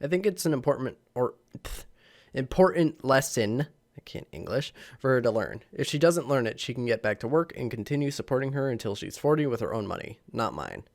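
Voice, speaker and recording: A man's voice: mid-range voice, expressive, fairly quick, vocal fry. A young man, a little sick, casually reading online posts to the camera with lots of fillers and chuckles. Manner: rambling, chuckling, many fillers. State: slightly sick. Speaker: channel host reading posts. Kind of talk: casual vlog reading aloud. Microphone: camera mic.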